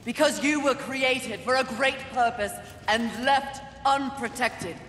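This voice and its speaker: Stern warrior in an echoing hall. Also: female voice, sits high, measured pace, a bit bright. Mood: urgent, persuasive. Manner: solemn, formal, declamatory. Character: stern, resolute female horseman warrior sworn to protect humanity. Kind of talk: dramatic video game cutscene acting. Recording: echoey hall reverb, faint background noise.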